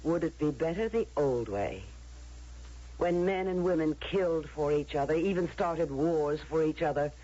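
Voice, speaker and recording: An actress playing a stern, coldly persuasive authority figure, lecturing in a deliberate, declamatory way in an old-time radio drama. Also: female voice, sits low, dark tone. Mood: insistent, persuasive. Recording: dull, lo-fi radio drama recording.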